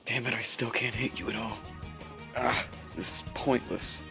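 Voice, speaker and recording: A man's voice: medium pitch, fairly even intonation, unhurried, sharp tone, soft background music. A man voicing an earnest, somewhat uncertain young hero in combat training for an amateur comic dub. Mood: frustrated.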